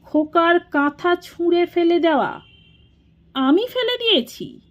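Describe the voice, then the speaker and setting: A woman's voice: high-pitched, slow pace, pitched above the performer's own voice. A woman voicing a weary, wounded middle-aged elder brother in an audio storybook, curt and indignant. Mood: hurt, indignant.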